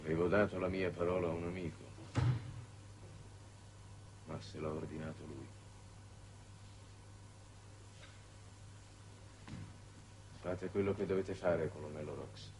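A man's voice: low voice, fairly even intonation, normal speed, long pauses, a little mellow, lightly acted. A middle-aged man playing a weary, conscience-torn government envoy, grave and formal, in 1960s Italian television drama. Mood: weary, resigned. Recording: noisy old 1960s television soundtrack.

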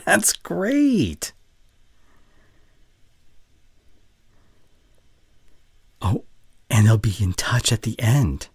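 A man playing a caring, worried boyfriend in an intimate audio roleplay, with a soft, breathy voice and tender, halting delivery close to the microphone. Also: medium pitch, wildly swooping pitch, dry recording, exaggerated voice. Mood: warm, encouraging. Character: caring, worried young boyfriend, gentle and earnest. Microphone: close studio condenser microphone.

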